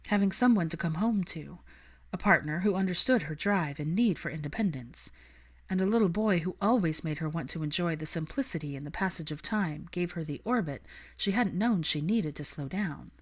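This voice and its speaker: A woman's voice: on the low side, animated, moderate pace. A woman reading fiction aloud as an audiobook narrator, in scripted storytelling style.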